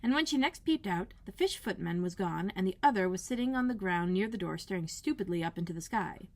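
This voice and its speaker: A woman librarian reading a children's storybook aloud in an expressive narrating style. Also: medium pitch, brisk.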